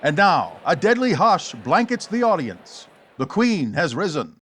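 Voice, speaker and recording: A man's voice: on the high side, sing-song, a little slow, a bit bright. A man narrating dramatically in the scripted style of a 1940s radio serial, theatrical and suspenseful.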